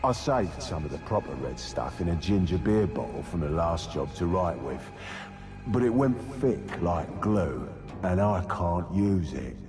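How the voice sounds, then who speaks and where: Deep, slow, menacing male killer. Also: animated, music in the background. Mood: gloating menace. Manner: menacing, taunting, deliberate. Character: gloating, sadistic male serial killer reading his taunting letter. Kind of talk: dramatic villain monologue.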